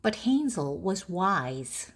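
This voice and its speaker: A woman reading a children's story aloud in short, deliberate phrases for language learners. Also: on the low side, big pitch swings. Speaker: storybook narrator.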